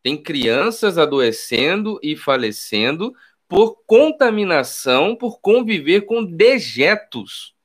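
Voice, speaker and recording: This is a man with a Carioca Brazilian accent, talking politics in a casual, emphatic way and repeating his key phrases. His voice sits a little on the high side for a man, and he drops street slang into his talk. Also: big pitch swings, slow. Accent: Carioca Brazilian Portuguese. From Rio de Janeiro, Brazil. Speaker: political YouTuber.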